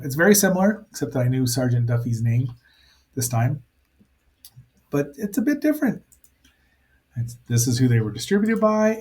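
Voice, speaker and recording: A man hosting a casual review show, rambling and thinking aloud with playful asides as he comments on a cartoon. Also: medium pitch.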